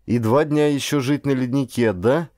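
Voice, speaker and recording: Grown man doing a shy, eager young son in an audiobook, soft mellow tone. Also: medium pitch, moderate pace, no room sound, clean studio sound, pitched above the performer's own voice, close to an everyday voice. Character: young son, timid, eager to please his father. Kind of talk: audiobook character dialogue.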